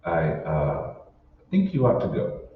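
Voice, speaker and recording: A man's voice: low voice, huge pitch swings, slow pace, heavily acted. A man playing a troubled, suspicious husband in an old-time radio drama, convinced his world is fake. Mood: weary, reserved. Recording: echoey hall, distant mic, dull, faint noise.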